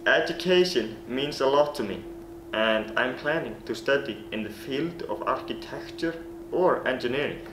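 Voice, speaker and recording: A young man speaks in an earnest, rehearsed way, his showing on every phrase. His voice sits at an ordinary middle pitch. The camera mic picks up a roomy echo and a steady background hiss. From Iceland. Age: late teens. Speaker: golf recruit. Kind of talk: scripted self-introduction to coaches. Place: small plain room indoors.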